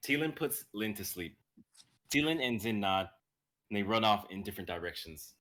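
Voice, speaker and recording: Low-voiced young man narrating a fantasy script. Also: animated, moderate pace, fairly bright tone. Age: young adult. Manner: reads stage directions, stumbling over phrases. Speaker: narrator and reader. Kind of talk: dramatic reading of a fantasy screenplay.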